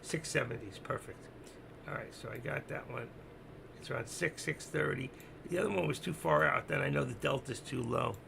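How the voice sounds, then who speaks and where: A man with a gravelly, hoarse voice that is giving out, doing unscripted live trading commentary, thinking aloud, repeating himself and instructing his viewers. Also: medium pitch, brisk, fairly bright tone, a little hiss or hum. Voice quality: gravelly, creaky. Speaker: live stock-trading streamer.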